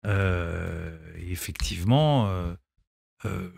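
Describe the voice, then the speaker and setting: A man's voice: deep voice, slow pace, creaky, darkish tone, breathy edge, no room sound, clean studio sound. A middle-aged man, a film director and actor, answering radio interview questions, often hesitant with restarts before pressing his point firmly. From Israel, grew up in France. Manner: hesitant, many restarts, then emphatic. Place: radio studio.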